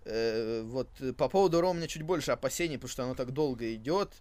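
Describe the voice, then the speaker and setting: A man's voice: medium pitch, animated, fast talker, a little mellow. A man co-hosting a podcast in casual chat, thinking aloud with hesitations and repeated phrases. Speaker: co-host of a wrestling podcast.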